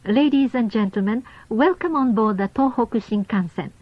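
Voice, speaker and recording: Dull taped female train announcer, higher-pitched. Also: no room sound. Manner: formal, polite, measured. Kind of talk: scripted onboard train announcement. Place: recorded announcement played over train speakers. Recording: dull lo-fi cassette tape.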